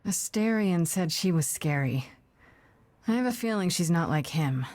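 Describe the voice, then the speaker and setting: A woman's voice: on the low side, lively intonation, normal speed, a little mellow, no room sound, natural voice. A woman acting a determined young heroine in a video game, earnest and dry, with an understated natural delivery. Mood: curious.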